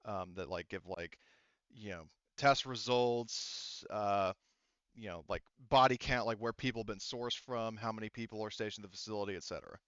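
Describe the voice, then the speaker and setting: A man improvising tabletop game narration as game master, often halting and rephrasing mid-sentence. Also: lowish voice, a bit bright, smooth, no room sound, highs cut off.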